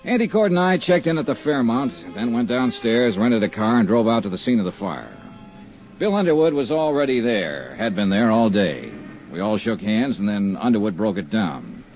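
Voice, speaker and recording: Dry 1950s radio private eye, lively up-and-down delivery, muffled old broadcast sound. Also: male voice, on the low side, moderate pace, soft background music. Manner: dry, matter-of-fact narration. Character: brisk, wisecracking male insurance investigator. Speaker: insurance investigator and narrator. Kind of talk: 1950s radio drama acting.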